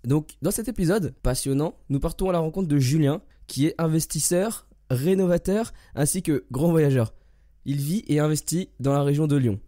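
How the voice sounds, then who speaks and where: A hoarse man hosting a podcast, who reads a welcoming scripted intro and then interviews a guest. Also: fairly high pitch, normal speed, no room sound, clean studio sound. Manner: welcoming, steady scripted delivery. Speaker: podcast host.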